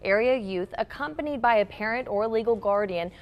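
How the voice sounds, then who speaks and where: A woman's voice: a little high, a little fast, lo-fi sound. A woman working as a news anchor, reading scripted local news stories.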